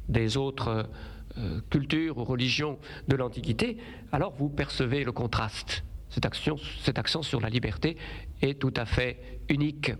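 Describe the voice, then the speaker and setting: A man giving a measured spoken lecture on biblical theology, speaking phrase by phrase with occasional hesitations. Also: mid-range voice.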